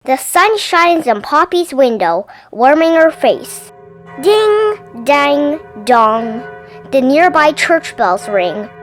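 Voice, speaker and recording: A woman reading a children's picture book aloud as an expressive storyteller, animated and careful with her words. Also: high-pitched, slow pace, no room sound, faint music behind, poor audio.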